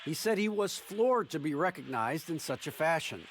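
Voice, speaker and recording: A man delivering a polished sideline update in a slightly raised voice. His report is newsy and smooth, and clear background noise runs under it. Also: on the high side, lively intonation, normal speed. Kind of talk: scripted sideline report for a basketball video game.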